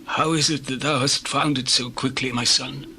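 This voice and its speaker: An actor playing an aged, grave patriarch in a dramatized scripture reading, with formal, deliberate delivery. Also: male voice, mid-range voice, fairly even intonation, pushed and bright. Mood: doubtful, searching. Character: aged, dim-eyed patriarch, grave and questioning. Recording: faintly noisy old recording.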